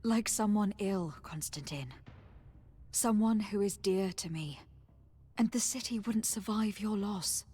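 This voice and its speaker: A young noblewoman in a fantasy video game. Her voice is husky and mid-pitched, her manner earnest, formal and resolute, with a caring temper. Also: a little slow, dark tone. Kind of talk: dramatic fantasy game acting.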